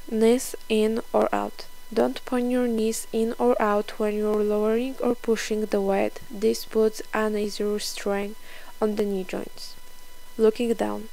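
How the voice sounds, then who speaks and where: Slightly creaky young woman. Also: medium pitch, warm tone, low-quality recording. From Poland. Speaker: fitness vlogger. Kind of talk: scripted instructional voice-over.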